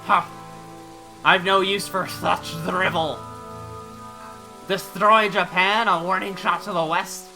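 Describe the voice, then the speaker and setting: Defiant older game fighter, voiced by a man, scornful, blustering, forceful tone, music behind. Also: high-pitched, fairly even intonation, slow pace, pauses often, heavily acted, low-quality recording. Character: proud, scornful older male mystic fighter.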